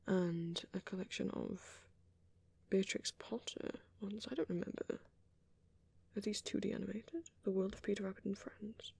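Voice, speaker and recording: A man doing a soft, often whispered ASMR roleplay as a shy, gentle young vampire boyfriend, rambling hesitantly in a breathy, husky voice. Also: high voice, steady tone, moderate pace.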